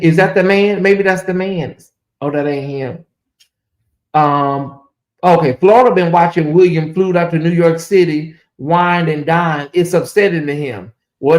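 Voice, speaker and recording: Hoarse man rambling on a livestream. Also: high-pitched. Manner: rambling, repetitive, sarcastic asides to viewers. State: hoarse, losing his voice. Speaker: livestream host. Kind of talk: casual livestream commentary. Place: home in Atlanta, cold room.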